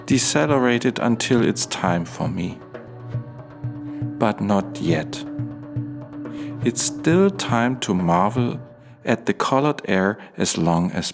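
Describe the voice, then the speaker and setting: A man playing a dreamy, solitary stage character in a poetic radio play, speaking in lyrical fragments. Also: lowish voice. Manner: slow, fragmented, lyrical phrasing. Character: Bibi, dreamy solitary stage character marvelling at orange skies.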